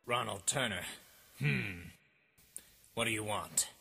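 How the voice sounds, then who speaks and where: A man playing a self-important, deadpan hard-boiled detective in 1950s radio drama style. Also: lowish voice, slow pace, vocal fry, husky, heavily acted. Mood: suspicious. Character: cocky, melodramatic male hard-boiled detective, absurdly sure of himself. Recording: music behind the voice.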